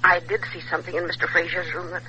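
A secretive housekeeper in a vintage radio mystery, speaking over the telephone. Her voice is very bright and piercing, with an insistent manner. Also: on the low side, little pitch movement, rapid-fire, a little hiss or hum, poor audio. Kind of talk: old-time radio mystery acting.

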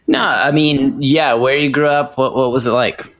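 A man hosting a podcast, asking guests relaxed, friendly questions. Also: mid-range voice, normal speed. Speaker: podcast host. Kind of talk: casual podcast interview.

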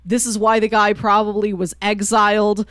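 A woman's voice: medium pitch, little pitch movement, normal speed, a bit bright, dry recording. A woman co-hosting a casual discussion, retelling a story in a chatty way and acting out the characters' lines. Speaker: co-host of a manga discussion show. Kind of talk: casual book discussion with spoilers.

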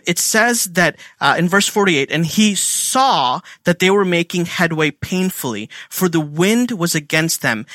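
A man with a bright, clear voice teaches Bible study in an easy, chatty way. His pitch sits a little above the middle, and he often stops to ask a question before he answers it. The sound is dry and close, with no room echo. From India. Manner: conversational, filler-heavy, asks and answers his own questions. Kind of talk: casual teaching talk.

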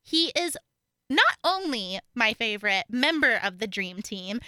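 Casting: young female podcast host, American with a slight Southern twang, high-pitched, very bright, giggly and informal, close dry sound. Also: unhurried, dry recording. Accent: American, occasional Oklahoma Southern twang. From Oklahoma, US. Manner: giggly, animated, informal with frequent "like". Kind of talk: casual true-crime podcast chat.

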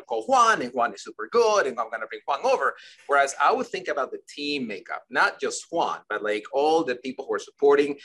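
Bright-voiced man from Ecuador, rambling. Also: medium pitch, breathy edge, poor audio. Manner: rambling, repeats words, asks "you see what I mean?". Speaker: guest, company leader. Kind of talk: conversational interview answers.

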